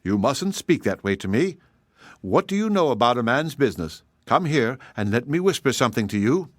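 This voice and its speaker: A man voicing a shrewd, proud little male hedgehog who speaks calmly and slyly. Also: mid-range voice, normal speed, no room sound, studio quality. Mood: confident. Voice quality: calm, sly, plain-spoken.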